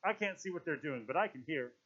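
A man voicing an anxious, indignant but sensible boy in a storybook, with a trilling, squeaky voice and ungrammatical, protesting speech. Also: animated, a little fast, bright, forceful tone, muffled recording. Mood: urgent, alarmed. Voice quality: trilling, squeaky when upset.

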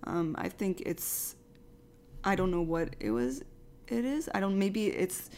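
A woman's voice: fairly low pitch, big pitch swings, a little slow, a little mellow, a little hiss or hum. A woman from a youth community group speaking earnestly in a casual discussion, with many fillers as she searches for words.

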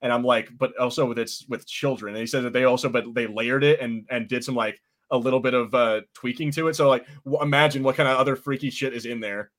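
A man's voice: mid-range voice, steady tone, fast talker, hardly any pauses, a bit bright. Male host, mid voice, loose, chatty and rambling. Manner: easygoing, rambling, laughs often. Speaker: podcast host. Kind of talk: casual chat.

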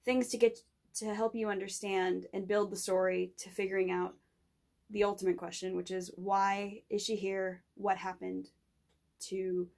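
A young woman speaks as a student guest making an informal pitch. Her voice sits at an average pitch and crackles with vocal fry. Her phrasing is loose and a little tentative. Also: little pitch movement, unhurried, a little mellow. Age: young adult. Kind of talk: informal spoken pitch in an interview.

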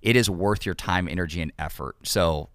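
A man's voice: low voice, moderate pace, a bit bright, no echo, clean studio sound. A male podcast host and pastor in casual conversation, encouraging listeners in a sermon-like cadence.

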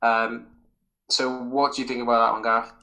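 A man's voice: medium pitch, little pitch movement, moderate pace, bright, forceful tone, poor audio. A man hosting a football podcast, talking casually and enthusiastically through detailed football memories in a rambling way. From Wales. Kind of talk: casual sports chat.

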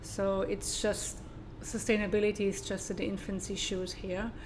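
A woman's voice: medium pitch, flat delivery, normal speed, noisy background. A woman from southern Germany with a German accent, speaking as a guest in a casual interview and talking hesitantly with many fillers and restarts. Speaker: guest, sustainability consultant.

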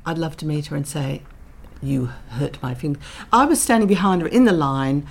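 Low-voiced woman, gravelly edge. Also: normal speed. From Netherlands. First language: Dutch. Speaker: guest. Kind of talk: candid interview conversation.

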